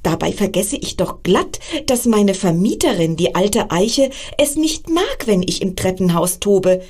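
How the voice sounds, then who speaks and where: A woman's voice: medium pitch, expressive, measured pace, darkish tone. A woman voicing a young squirrel boy in a bedtime story, eager, bubbly and breathless.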